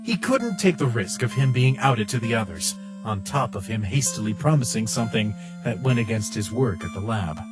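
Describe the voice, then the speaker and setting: A man's voice: fairly low pitch, very animated, moderate pace, hardly any pauses. A man reading scripted story narration as the narrator of a comic dub. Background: guitar music.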